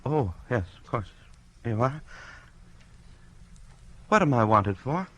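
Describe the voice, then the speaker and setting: A man's voice: mid-range voice, sing-song, slow, lo-fi sound. An actor playing a nervous, polite man bewildered by the police, hesitant and stammering, in a 1940s radio drama. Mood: apologetic, bewildered. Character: nervous, polite, bewildered quiet male stranger under arrest.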